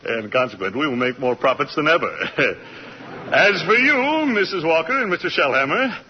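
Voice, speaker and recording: An actor playing an exuberant, bossy American store owner with an effusive, pompous delivery in 1940s radio style. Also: male voice, fairly high pitch, sing-song, normal speed, slight background noise. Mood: grandly pleased. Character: exuberant, bossy department store boss, a man. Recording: dull 1940s radio recording, long hall reverb.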